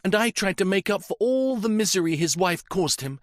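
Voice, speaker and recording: A grieving young woman in a mystery audiobook, defiant and close to tears, as a male narrator puts her on. Her voice rides high and swings widely, with a dry, close sound. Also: animated, fairly quick, no room sound. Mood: impassioned. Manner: impassioned, tearful outbursts.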